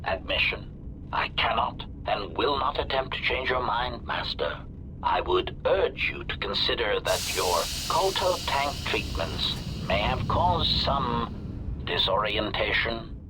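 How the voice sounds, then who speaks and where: An actor playing a polite but sinister protocol droid in a video game, with robotic processing and formal, pedantic delivery. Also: male voice, mid-range voice, expressive, slow, noisy background. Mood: smug, evasive. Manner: formal, pedantic, labels statements before saying them. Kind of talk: scripted video game dialogue.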